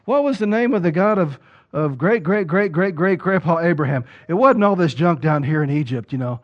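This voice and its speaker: Emphatic preacher, lo-fi sound. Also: male voice, fairly high pitch, expressive, brisk. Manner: rises to loud emphatic preaching peaks, acts out quoted voices. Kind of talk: sermon. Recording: dull lo-fi sound.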